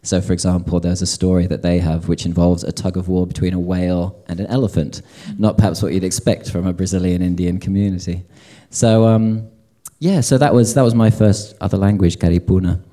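Deep-voiced man, hesitant and wry. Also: steady tone, brisk, few pauses, mellow. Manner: hesitant, many fillers, wry asides. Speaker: publisher on a panel. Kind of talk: panel discussion. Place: lecture event before an audience.

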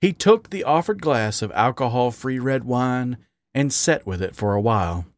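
A man narrating an adult story in a measured, expressive reading on a close mic. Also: mid-range voice, no room sound. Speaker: story reader.

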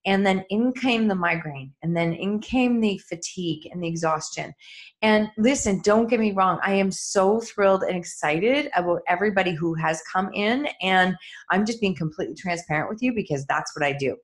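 A middle-aged woman coach speaking candidly and earnestly to her listeners in a reflective solo talk, with soft laughs. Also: medium pitch, moderate pace. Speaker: coach and author.

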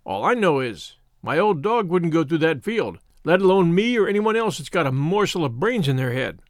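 A man's voice: on the high side, very animated, normal speed, no echo, clean studio sound. A man voicing a grave, superstitious old shepherd with rustic English dialect, in a storybook reading. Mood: grave warning. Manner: dialect speech, solemn warnings.

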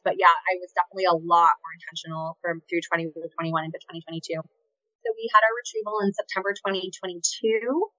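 A woman's voice: fairly low pitch, sharp tone, dry recording, muffled recording. A woman in her late thirties telling a personal story in a candid, chatty way, with many "like" fillers. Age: 30s. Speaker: podcast guest.

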